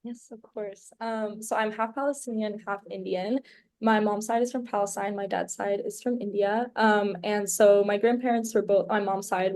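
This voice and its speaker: A woman's voice: mid-range voice, darkish tone. A young woman from the United States, a podcast guest, talking in a chatty, rambling way full of "like" and "um". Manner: chatty and rambling, leans heavily on "like" and "um". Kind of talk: casual conversational interview.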